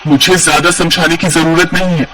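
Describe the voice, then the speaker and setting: A somewhat husky, haughty merchant's wife played by a woman in a cartoon story. She is short-tempered and bossy, quick to take offence and scold. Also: lowish voice, fairly even intonation, fairly bright tone. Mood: annoyed. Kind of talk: Hindi cartoon story dialogue.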